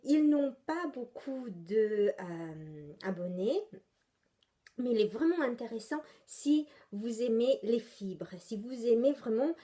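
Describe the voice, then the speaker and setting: A woman's voice: on the high side, expressive, takes it slowly, mellow. A young woman with an Italian accent, hosting a knitting podcast in casual, rambling chat full of hesitations. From Italy. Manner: rambling, chatty, many hesitations and self-corrections.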